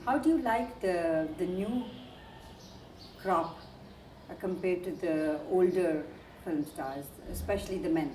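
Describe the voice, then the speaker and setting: A woman conducts a probing interview in a low, mellow voice, hesitating and restarting her questions with small fillers. The sound is roomy and echoey, with a faint background hiss from an old archival tape. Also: unhurried. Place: indoor room in a residential building. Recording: echoey room, archival video.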